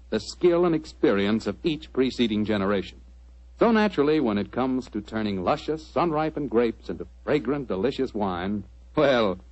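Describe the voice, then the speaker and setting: A man's voice: medium pitch, no echo. Dry-sounding American radio announcer, man. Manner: folksy, salesmanlike. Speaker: radio announcer and sponsor pitchman. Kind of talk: 1940s radio advertising patter. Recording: dull, lo-fi 1940s radio recording.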